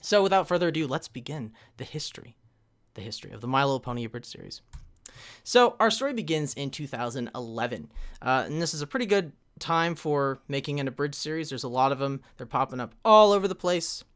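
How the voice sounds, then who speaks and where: A man of ordinary pitch hosts a fan convention panel over a live stream, talking from a home computer mic. He rambles casually, filling gaps with "uh" and "um" and restarting his sentences as he goes. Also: animated, a little fast, a bit bright. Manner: loose and rambling, many fillers and restarts, checks the chat.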